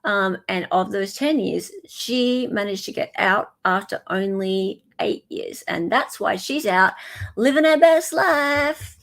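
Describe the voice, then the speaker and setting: Aussie woman doing a casual livestream, chatty and informal, lots of creak in her voice, mid pitch. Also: takes it slowly, a bit bright. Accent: Australian. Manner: chatty, informal, greets viewers mid-talk. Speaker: livestream host. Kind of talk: casual livestream chat and commentary.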